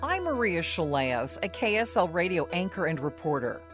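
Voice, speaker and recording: A woman's voice: lowish voice, big pitch swings, moderate pace, music in the background. An American woman radio anchor narrating a scripted documentary as host.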